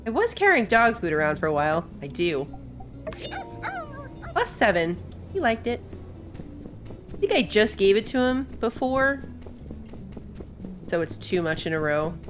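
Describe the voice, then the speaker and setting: A woman's voice: medium pitch, sing-song, a little slow, long pauses, faint music behind. A woman hosting a gaming video with casual, amused running commentary. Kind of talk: casual let's play commentary.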